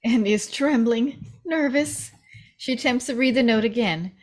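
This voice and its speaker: A woman's voice: fairly high pitch, normal speed. A woman reading stage directions as narrator in a scripted table read.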